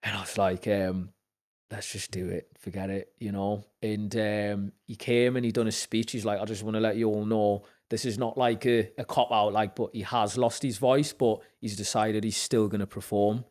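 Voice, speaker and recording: A young man with a Newcastle accent tells his story as a podcast guest, his voice sitting on the low side. He brings the story to life by acting out what other people said and lifts his pitch when he gets excited. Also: normal speed. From Zambia. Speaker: podcast guest, actor and musician. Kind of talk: casual storytelling chat.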